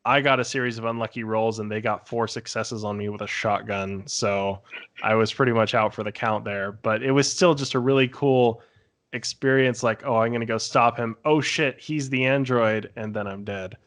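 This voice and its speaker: A man with a fairly low voice chats informally on a podcast. He rambles along in a casual storytelling way, with the odd swear word. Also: steady tone, moderate pace, a bit bright. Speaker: podcast guest or panelist. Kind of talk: casual chat recounting tabletop game sessions.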